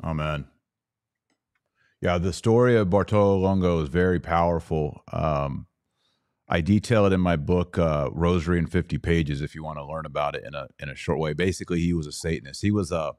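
A man hosting a podcast, chatting in a relaxed, conversational way with quick asides. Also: low voice, no room sound, studio quality. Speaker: podcast host. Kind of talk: casual podcast talk.

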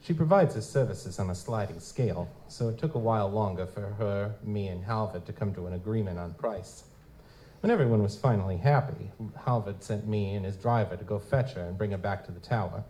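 An actor playing a world-weary, cynical private investigator in a live noir audio drama, wry and slangy in manner. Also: male voice, lowish voice, fairly quick, warm tone, natural voice, rough recording. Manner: wry, slangy, hard-boiled. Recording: live convention performance, clear background noise.

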